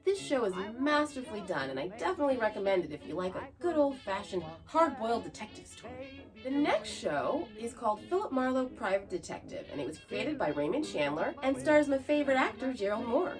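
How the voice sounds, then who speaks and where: Lively higher-voiced woman podcaster over music. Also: brisk. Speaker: podcast host. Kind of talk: scripted narration with chatty asides.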